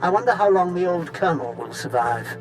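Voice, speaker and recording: An actor playing a gloating, sadistic Sith villain in a video game, taunting with theatrical, mock-polite menace. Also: male voice, high voice, lively intonation, a little fast, over music. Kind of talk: video game cutscene acting.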